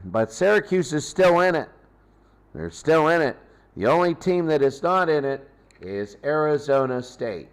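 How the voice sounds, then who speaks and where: Slow-paced man giving a sports recap. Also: fairly high pitch, animated, clean tone. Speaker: host recapping football tournament results. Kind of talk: unscripted sports recap and commentary.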